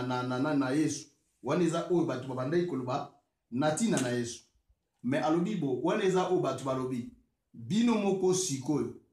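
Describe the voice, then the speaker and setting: Congolese male preacher, mid-pitched voice, halting delivery that builds to emphasis, reverberant room. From Congo (DRC). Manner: hesitant, many fillers and restarts; grows louder and emphatic when explaining scripture. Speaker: prophet and preacher. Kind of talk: sermon.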